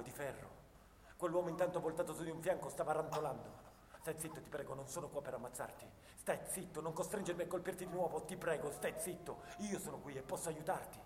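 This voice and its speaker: A male actor plays a young soldier of the First World War, haunted and anguished. The voice rings with long reverb in a roomy space, over clear noise. He tells his story in a dramatic radio-theatre monologue, moving between hushed bitterness and intensity. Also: on the high side, natural voice. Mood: panicked, intense, then pleading.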